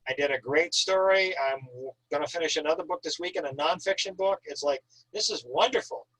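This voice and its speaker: A man with a somewhat high voice talks in a casual, enthusiastic style. He is effusive and wordy, stressing his points like an author keen to share his work. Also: fairly quick, fairly bright tone, breathy edge, dry recording, low-quality recording. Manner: effusive, talkative, emphatic. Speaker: author, guest at an online reading. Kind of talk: casual enthusiastic chat about writing.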